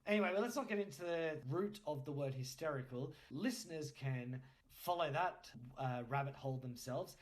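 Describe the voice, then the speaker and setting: A man's voice: on the high side, normal speed. Casual male podcast host. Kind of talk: casual chat interview.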